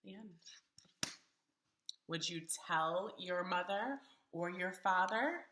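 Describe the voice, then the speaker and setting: A woman's voice: fairly low pitch, slow, reverberant, background noise. A woman reading a storybook aloud to young children expressively and theatrically, putting questions to her listeners.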